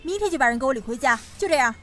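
A woman playing a wealthy middle-aged mother, bossy and brisk but doting, in an audio drama. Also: high-pitched, animated, bright, forceful tone. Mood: scolding, impatient.

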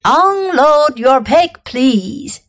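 A woman plays a grand, self-important fair announcer from a storybook. The delivery is slow and proclamatory, pitched high, with showy swings on the formal phrases. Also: big pitch swings, exaggerated voice. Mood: pompous, proclaiming. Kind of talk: storybook character voice.

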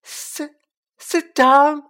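A woman voicing a small male house elf, high-pitched and squeaky, servile and overwrought, in an audiobook. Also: a little slow, lightly acted. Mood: tearful wailing. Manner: deferential, overwrought, speaks of himself in third person.

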